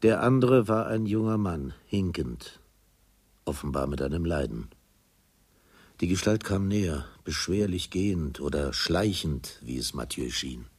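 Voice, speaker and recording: Deep, husky, solemn narrator. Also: male voice, lively intonation, darkish tone, exaggerated voice. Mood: observing. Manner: solemn, deliberate. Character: grave, bleak male narrator of a literary drama. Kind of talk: scripted literary radio drama narration.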